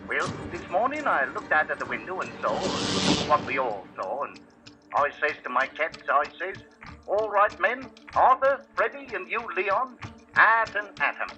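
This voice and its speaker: An actor playing a chatty, folksy cat owner who rambles and repeats himself, in 1950s radio drama style. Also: male voice, high voice, sing-song, moderate pace, low-quality recording. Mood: boastful, rambling. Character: chatty working-class cockney cat owner, folksy street interviewee. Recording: vintage 1950s radio broadcast, music behind.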